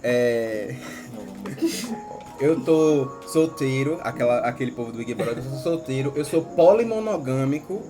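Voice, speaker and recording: A man's voice: medium pitch, animated, measured pace, over music. A man, introducing himself on a podcast in a playful, joking way. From Brazil. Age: 20s. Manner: playful, joking, laughs often. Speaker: podcast co-host. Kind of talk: casual chat among friends.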